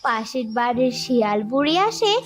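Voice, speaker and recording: A woman's voice: fairly high pitch, very animated, normal speed. A woman narrating a scripted children's cartoon story.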